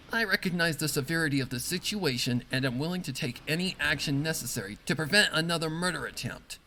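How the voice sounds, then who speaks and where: A man voicing a calm, commanding female pegasus factory boss in a dark story, speaking formally and with measured authority. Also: fairly high pitch, slight background noise, voice pushed up. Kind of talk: dramatic fan fiction reading.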